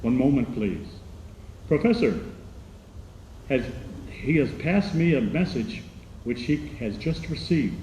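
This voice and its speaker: A man's voice: mid-range voice, very animated, dark tone. A man playing an earnest radio commentator, reporting formally in an old-time radio drama news style. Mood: formal, composed. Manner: formal, deliberate announcing. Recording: echoey radio drama with background noise.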